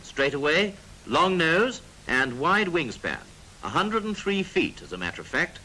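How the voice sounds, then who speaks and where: Animated 1940s British male film narrator. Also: a little high, a little slow. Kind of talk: 1940s military instructional film narration, scripted. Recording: noisy 1940s film soundtrack.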